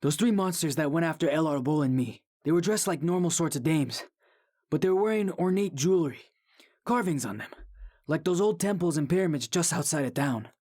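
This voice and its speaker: An actor playing a brash, prickly young rookie wrestler in an audio drama, cocky and sneering. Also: male voice, fairly high pitch, animated, brisk, darkish tone. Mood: sullen, sly.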